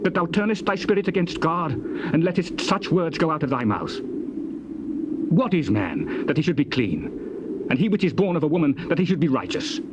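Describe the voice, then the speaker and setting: Casting: a stern scriptural elder, rhetorical and rebuking, slightly high-pitched, on a noisy cassette recording. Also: male voice, sing-song, moderate pace. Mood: accusing. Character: Eliphaz, stern, accusing biblical elder. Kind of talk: dramatised King James scripture, theatrical recitation. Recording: noisy old cassette tape.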